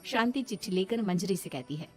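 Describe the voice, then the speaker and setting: Female storyteller, mid pitch, scripted cartoon moral-tale narration, music underneath. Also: expressive, a little fast, a little mellow, breathy edge.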